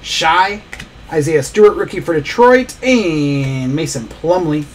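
A man's voice: fairly high pitch, lively intonation, takes it slowly, a little hiss or hum. A man hosting a live trading card break, opening packs and rattling off player names as he goes. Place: small indoor studio desk.

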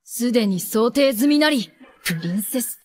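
Imperious anime princess, animated delivery. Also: female voice, a little high, moderate pace. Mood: contemptuous, then battle cries. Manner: imperious, taunting, grandiose. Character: cold, merciless teenage warrior princess, imperious, menacing. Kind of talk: anime voice acting.

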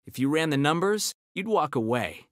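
A man's voice: fairly high pitch, big pitch swings, moderate pace, fairly bright tone, no echo, studio quality. A man voicing a brainy, cocky young ninja turtle in a video game, with an American accent and nerdy, quippy confidence. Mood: confident, nerdy. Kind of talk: video game character battle banter.

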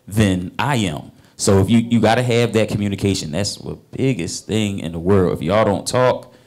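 A man's voice: on the low side, normal speed, darkish tone. A man on a panel speaking earnestly and conversationally into a handheld mic. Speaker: panel guest.

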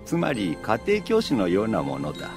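A man's voice: lowish voice, wildly swooping pitch, moderate pace. An actor playing a dignified, patient elderly grandfather in an anime audio drama, speaking formally. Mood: explanatory. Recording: music behind the voice.

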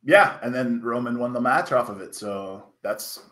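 A man in his thirties co-commentating on a wrestling stream over a video call, slightly under the weather and coughing, excitable and rambling, with a headset mic. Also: medium pitch, normal speed. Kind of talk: casual live-stream commentary chat.

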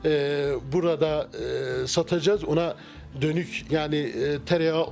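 A middle-aged man, a restaurant owner, answering interview questions in a relaxed, halting way with many fillers and restarts, inside a wooden-walled restaurant. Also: fairly high pitch, soft background music. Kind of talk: casual interview. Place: wood-paneled restaurant interior. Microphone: handheld mic held by interviewer.

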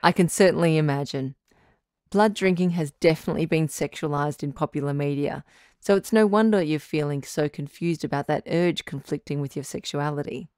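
A woman playing a calm, reassuring doctor who hosts a radio relationship advice show, speaking in a measured, counselling way. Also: low voice, no echo, clean studio sound, close to an everyday voice. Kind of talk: audio drama radio call-in acting.

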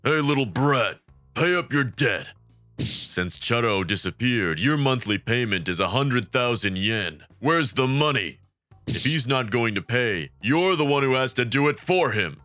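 An actor voicing a gruff, threatening male debt collector in a manga comic dub, demanding and menacing. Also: mid-range voice, animated, unhurried, fairly bright tone, dry recording. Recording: dry voice with background music.

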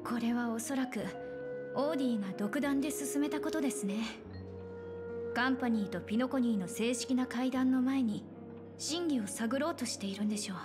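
An actress playing an earnest, polite young businesswoman in a game, crisp in her delivery. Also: on the high side, moderate pace, close to an everyday voice. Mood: polite, composed. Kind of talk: Japanese game voice acting. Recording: music behind the voice.

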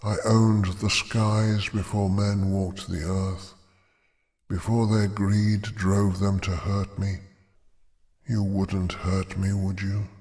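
A man voicing an ancient, imprisoned female dragon goddess who speaks slowly and with command. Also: low voice, little pitch movement, darkish tone. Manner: slow, measured, otherworldly.

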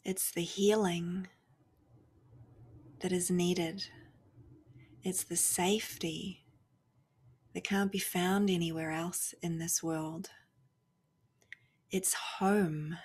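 A woman leading a guided spiritual meditation, speaking softly and reflectively in short phrases with long pauses, often fading almost to a whisper. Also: fairly low pitch, flat delivery, husky.

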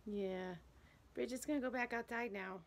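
A woman's voice: fairly high pitch, normal speed. A middle-aged woman talking casually to her pets in short, coaxing phrases, recorded on a handheld phone. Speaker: backyard chicken keeper, vlogger. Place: mostly outdoors in a garden and around a coop.

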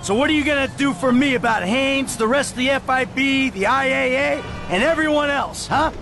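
An actor playing a cynical, fed-up criminal in a video game, wary and needling with sardonic delivery. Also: male voice, very high voice, a little fast, music in the background. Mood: demanding.

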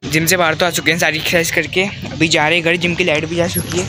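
A man's voice: a little high, level tone, fast talker, loud music behind the voice. A teenage boy narrating his daily vlog into a phone held at arm's length, plainly describing each step of his day. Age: teens. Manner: plain step-by-step running commentary. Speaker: vlogger. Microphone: phone camera at arm's length.

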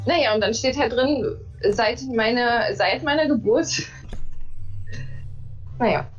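A woman's voice: a little high, takes it slowly, soft background music. Woman from Saxony on a dull call line. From Saxony, Germany. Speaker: political scientist co-host. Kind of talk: casual livestream chat. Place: home room.

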